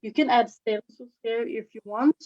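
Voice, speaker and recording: A woman from Sweden with a Swedish accent, giving an informal demonstration as a face painting instructor, with halting phrasing. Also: a little high. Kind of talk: informal live demonstration with commentary. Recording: slightly dull, lo-fi webinar audio.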